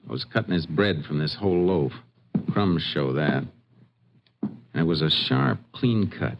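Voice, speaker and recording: Deep-voiced laconic Texas lawman. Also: expressive, measured pace, creaky, exaggerated voice. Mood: methodical. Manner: laconic, methodical. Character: calm, observant Texas Ranger lawman. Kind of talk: vintage radio detective western acting. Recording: muffled, noisy vintage radio recording.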